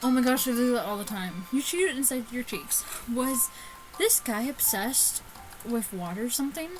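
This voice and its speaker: A woman's voice: fairly high pitch, animated, moderate pace, low-quality recording. A woman giving casual, sweary let's play commentary and reading a visual novel aloud, giggly and stumbling over the text. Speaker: gaming YouTuber. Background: music behind the voice.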